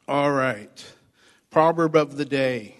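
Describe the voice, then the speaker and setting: A man with a hoarse voice, pastoring a church, giving chatty announcements and a short sermon to his congregation. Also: mid-range voice. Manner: upbeat, chatty, addresses congregation directly. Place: church sanctuary. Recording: long reverb of a church hall.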